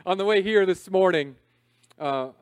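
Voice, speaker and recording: A man in his thirties preaching a sermon in a conversational, self-deprecating way, swinging between loud emphasis and quiet asides. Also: high-pitched, measured pace, clean tone, dry recording, clean studio sound. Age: 30s. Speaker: pastor.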